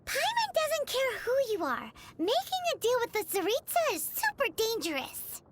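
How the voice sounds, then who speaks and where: A woman voicing a tiny, excitable, childlike fairy companion, chirpy and eager, in a video game. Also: very high voice, moderate pace, noisy background, exaggerated voice. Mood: concerned, pondering. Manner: chirpy, refers to herself in third person. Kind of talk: video game character voice lines.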